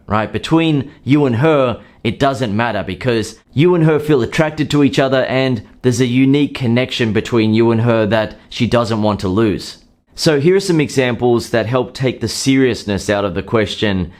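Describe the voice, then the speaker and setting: Man giving calm dating advice. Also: medium pitch. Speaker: dating coach. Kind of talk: scripted advice monologue.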